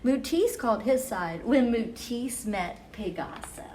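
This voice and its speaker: Higher-voiced woman reading slowly to children. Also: lively intonation, a little mellow, slight background noise. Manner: measured, deliberate phrasing with emphasis for young listeners. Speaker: children's story time host. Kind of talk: expressive read-aloud of children's picture books.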